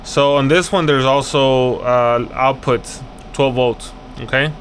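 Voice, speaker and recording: A man talks through a technical install in an unhurried way, thinking aloud and repeating himself as he instructs. His pitch is average, and a faint noise runs behind him indoors. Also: fairly even intonation. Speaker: access control installer and video host. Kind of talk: hands-on walkthrough, unscripted explaining. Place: indoors at an office job site.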